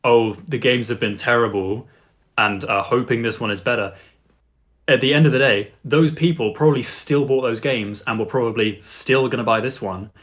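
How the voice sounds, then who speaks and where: A man's voice: medium pitch, normal speed, a bit bright. A man co-hosting a casual podcast, reasoning out his point in a matter-of-fact way. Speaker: podcast co-host.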